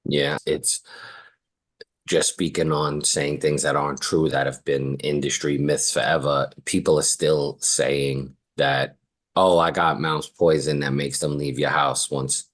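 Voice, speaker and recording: A man's voice: a bass voice, level tone, unhurried, fairly bright tone. A man hosting a podcast, casually sharing anecdotes from his business in an informal storytelling manner.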